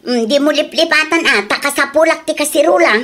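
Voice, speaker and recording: Casting: actress as a fussy, high-voiced, scolding wife in a radio drama. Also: female voice, a little fast, a bit bright. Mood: nagging. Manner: scolding, complaining. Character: fussy wife who demands quality.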